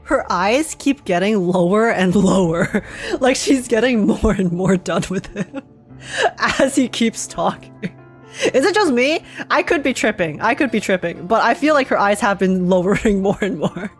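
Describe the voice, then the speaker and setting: A woman's voice: medium pitch, moderate pace. A young woman giving casual game reaction commentary, slangy and rambling, often acting out what others might say. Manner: rambling, animated quoting, slangy. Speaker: game streamer reacting to a story. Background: faint game music.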